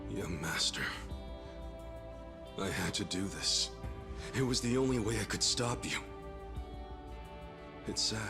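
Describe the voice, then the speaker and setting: A man's voice: lowish voice, animated, slow, long pauses, music in the background. A man playing a loyal, resolute man who earnestly confronts his former master in a video game cutscene. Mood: sad, resolute. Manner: earnest, pleading, reproachful.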